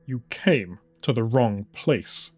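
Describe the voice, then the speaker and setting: A man voicing a broken old male commander, bitter and resigned, with a flat clinical manner, in a dramatised audiobook. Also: mid-range voice, takes it slowly, husky. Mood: grim.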